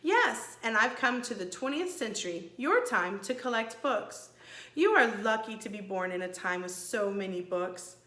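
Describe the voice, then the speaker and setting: Woman as a gracious old enchantress, lively intonation. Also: mid-range voice, reverberant. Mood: serene, explaining. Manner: measured, gracious, precise.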